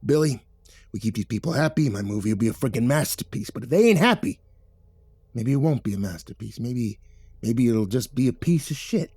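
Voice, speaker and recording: A man voicing a gruff, bossy, menacing movie backer with a rumbling, slangy delivery in an audiobook. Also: animated, a little fast, darkish tone, no room sound, clean studio sound. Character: Victor, gruff menacing male mob-style movie backer. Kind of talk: audiobook character voice.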